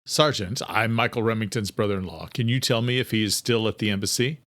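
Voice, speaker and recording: A man's voice: fairly low pitch, fast talker, close to an everyday voice. Man playing a terse, protective thriller hero. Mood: firm, controlled. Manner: terse, steady, commanding. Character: protective, decisive armed brother, thriller hero.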